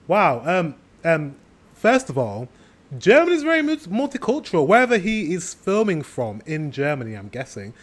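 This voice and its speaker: British male reaction host, upbeat, slightly high, chatty and musing. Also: huge pitch swings, moderate pace. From Britain. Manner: chatty, thinks aloud with hums and asides to viewers. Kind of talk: casual reaction commentary.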